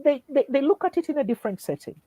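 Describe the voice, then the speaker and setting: A man's voice: extremely high pitch, animated, fast, warm tone, dry recording. A man invited as an education expert in an online discussion, explaining things in a hesitant, affirming way with frequent restarts over a video call.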